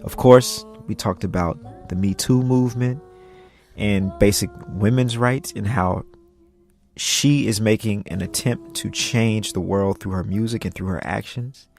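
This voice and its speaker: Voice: mid-range voice, measured pace, a little mellow, faint music behind. A podcast host introducing a guest and chatting in an interview.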